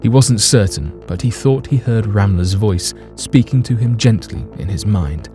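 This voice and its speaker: A man's voice: on the low side, lively intonation, moderate pace, mellow, soft background music. A man narrating a scripted audio drama in a grave, theatrical storytelling manner.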